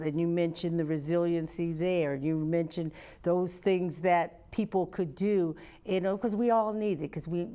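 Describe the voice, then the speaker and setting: A woman interviewing a guest conversationally, feeling her way through questions in halting, roundabout phrases. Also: lowish voice, warm tone.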